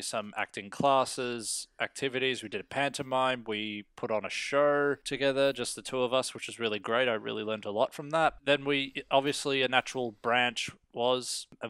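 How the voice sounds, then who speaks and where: A man's voice: medium pitch, a bit bright, clean tone, no room sound. A man chatting casually as an interview guest, rambling and joking in a self-deprecating way. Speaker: interview guest, amateur voice actor. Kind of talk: casual chat.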